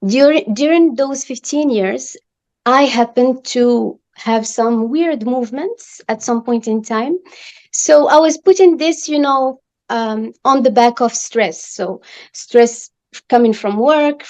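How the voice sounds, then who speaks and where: A Moroccan woman around forty with a Moroccan accent, a podcast guest and life coach, speaking warmly with small restarts. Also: on the high side, slow, poor audio. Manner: warm, laughs easily, some restarts.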